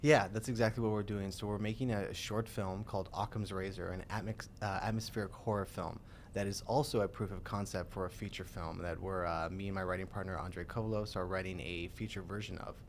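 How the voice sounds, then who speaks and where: A young man in his twenties talks fast in a very low voice, eager to explain. A faint rumble sits under the recording. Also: fairly even intonation. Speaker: guest filmmaker. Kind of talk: interview answers.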